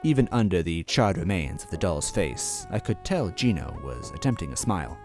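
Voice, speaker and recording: A man's voice: low-pitched, big pitch swings, moderate pace, music in the background. A man narrating a first-person story expressively as a reader.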